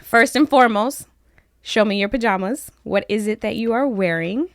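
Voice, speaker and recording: A woman's voice: fairly high pitch, moderate pace, dry recording, studio quality. A woman hosting a casual interview, asking questions and laughing along with her guest. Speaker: host, interviewer.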